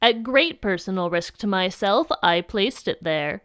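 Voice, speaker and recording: A woman's voice: mid-range voice, expressive, unhurried, noisy background, natural voice. A woman playing a smug, teasing alien troll prankster in an audio drama, mocking and condescending. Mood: defensive, smug.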